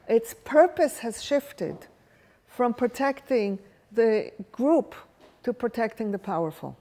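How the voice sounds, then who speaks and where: Woman, hebrew is her first language, talks slow and reflective, keeps asking the room questions. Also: fairly high pitch, dark tone. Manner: reflective, thinking aloud with restarts, asks the room questions. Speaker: workshop facilitator. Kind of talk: live workshop talk with audience questions. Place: workshop room with a live audience.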